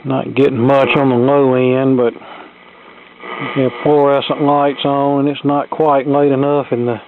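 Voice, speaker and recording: A man's voice: medium pitch, level tone, a little slow, slight background noise. Folksy man on phone-like lo-fi recording. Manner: folksy, plainspoken. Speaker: radio repair hobbyist presenter. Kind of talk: casual workbench repair narration. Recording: phone-like lo-fi sound, music behind. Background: tube AM radio playing.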